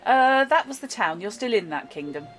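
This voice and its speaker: A woman narrating as game master in a chatty, explanatory way, with fillers and quick laughs. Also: medium pitch, sing-song, a bit bright. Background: background music.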